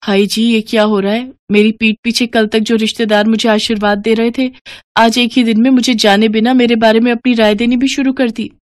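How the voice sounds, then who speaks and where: A woman's voice: fairly high pitch, level tone, a little fast, no room sound, close to an everyday voice. A woman voicing a naive, sweet young new bride, polite and earnest, in a cartoon story. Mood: dismayed. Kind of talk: Hindi cartoon story voice acting.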